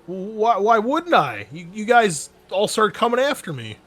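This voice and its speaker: A man's voice: sits high, sing-song, unhurried, a bit bright, faint music behind, pitched above the performer's own voice, exaggerated voice. A man voicing a nervous, evasive captured insurgent in a tabletop game, stammering and defensive as he pleads under questioning.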